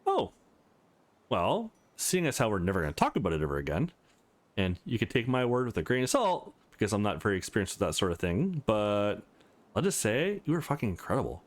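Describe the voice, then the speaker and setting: Guy doing a caring young student hero from a visual novel, earnest, big swings in pitch. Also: huge pitch swings, a little fast. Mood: sheepish, admiring.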